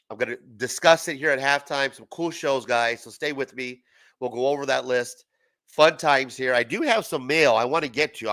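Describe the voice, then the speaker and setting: A man's voice: mid-range voice, bright, forceful tone, no echo. A man in his forties, congested from a cold, giving loose, profane, casual play-by-play commentary on a live stream. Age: 40s. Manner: loose, profane, chatty, laughs at his own jokes. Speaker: sports watch-party streamer.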